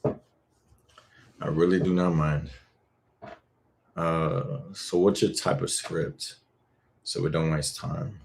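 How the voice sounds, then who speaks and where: A man's voice: very deep voice, little pitch movement, slow pace, gravelly, pauses often, a little mellow, slight background noise. A young man hosting a live Q&A, chatting casually and frankly, reading viewer comments and swearing now and then. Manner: laid-back, rambling, frank with casual swearing. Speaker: boyfriend-audio creator hosting a live Q&A.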